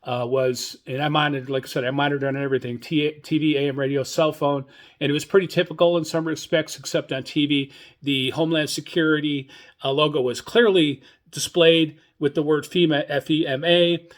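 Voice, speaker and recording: An older man hosting a hobbyist podcast, giving informal solo news commentary in a hesitant, halting, rambling way. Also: a little high, level tone. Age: older, 60s or above. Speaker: radio hobbyist podcast host.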